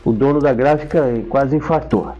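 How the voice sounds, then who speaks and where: A man's voice: mid-range voice, normal speed, faint music behind. A man with a Brazilian Portuguese accent telling stories conversationally as an interview guest, emphatic and often checking in with his listener. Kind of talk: conversational storytelling and reminiscence. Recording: dull, lo-fi sound.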